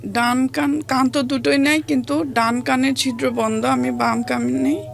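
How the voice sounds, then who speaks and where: A woman from Bangladesh with a Bangladeshi accent, telling a personal life story as an interview guest in plain, halting, colloquial speech. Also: high-pitched, steady tone, vocal fry.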